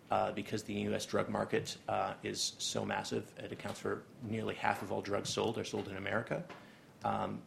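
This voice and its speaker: A man's voice: fairly low pitch, creaky, slight background noise. A young Canadian man giving a seminar lecture, full of ums and uhs. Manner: hesitant, many ums and uhs. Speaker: guest lecturer. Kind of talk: academic seminar talk.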